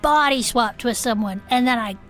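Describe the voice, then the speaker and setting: A woman playing an eager, chatty turtle-folk podcast host in a comedic audio drama, talking in an informal, halting way. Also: a little high, faint music behind, natural voice. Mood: eager theorizing. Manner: informal, halting, checks in often. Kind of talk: conversational comedic audio fiction.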